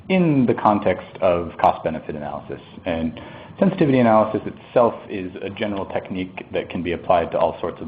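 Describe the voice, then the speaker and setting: Very deep man with a cold, sniffly, technical webinar presenter, tinny phone-line audio. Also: slight background noise. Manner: explanatory, with fillers and restarts. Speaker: presenter, economist. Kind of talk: technical lecture.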